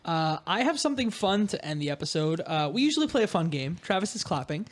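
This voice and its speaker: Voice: mid-range voice, big pitch swings, fast. A podcast host chatting casually in a panel about films and games, with a playful, mildly sarcastic manner.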